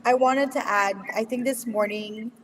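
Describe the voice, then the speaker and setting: A woman's voice: on the high side, fairly even intonation, moderate pace, big-room sound, slight background noise, poor audio. A woman, an educator on a panel, speaking passionately and repeating points for emphasis. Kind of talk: panel discussion.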